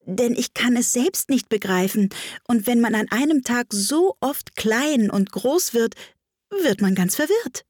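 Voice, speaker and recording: A woman's voice: medium pitch, very animated, moderate pace, a little mellow, husky, no echo, clean studio sound. A woman voicing a polite, earnest, confused young girl in a storybook, speaking a little hesitantly.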